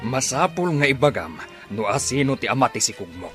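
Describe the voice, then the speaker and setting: A man's voice: mid-range voice, sing-song, a little fast, music in the background, close to an everyday voice. A man playing a harsh, shamed, domineering father in an audio drama, scolding and commanding in a northern Philippine accent. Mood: stern, demanding.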